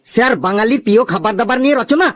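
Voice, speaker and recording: A man's voice: very high voice, racing. A man voicing a cheeky, boastful schoolboy in a comic cartoon, reciting with singsong eagerness. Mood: eager, reciting.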